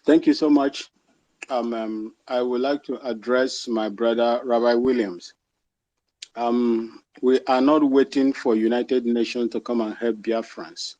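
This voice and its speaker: A man with a Ghanaian accent, moderating a live discussion and putting questions to a guest in a measured, deliberate way. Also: mid-range voice, steady tone, dark tone, no echo, muffled recording. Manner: measured, deliberate, slows for emphasis.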